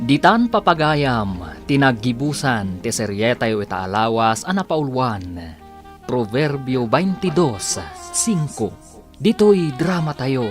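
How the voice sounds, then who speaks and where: Loud music plays behind a male Ilocano radio announcer. He reads scripted credits slowly, with a widely swinging intonation. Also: mid-range voice.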